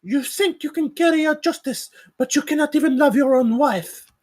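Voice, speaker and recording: High-pitched actress as a young wife, defiant, heartbroken, emotional, insistent, dramatic table read. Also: female voice, moderate pace, darkish tone, lightly acted. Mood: hurt, angry.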